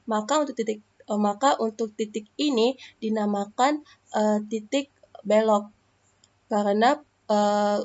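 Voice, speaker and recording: A young woman from Indonesia, a math student, explains a lesson step by step in a screen recording, pausing often with hesitations. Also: medium pitch, a little mellow, highs cut off.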